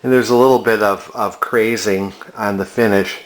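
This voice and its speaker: A man giving a casual, unscripted walk-through as a collector, talking in a halting, musing way. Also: fairly low pitch, fairly even intonation, rough recording. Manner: hesitant, musing, halting delivery. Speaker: vintage electronics collector presenting a find.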